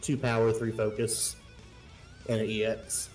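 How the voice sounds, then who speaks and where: A man's voice: fairly low pitch, flat delivery, a little slow, darkish tone. Casual male gamer, faint guitar music behind. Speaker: tabletop game player. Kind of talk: casual game chat, improvised description of his character's moves.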